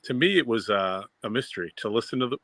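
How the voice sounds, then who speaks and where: Man with a Boston accent, forceful bright tone, dry close sound, rambling fan chat. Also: medium pitch, animated, quick pace, no room sound. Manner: enthusiastic, rambling with fillers. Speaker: co-host. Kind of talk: casual fan discussion.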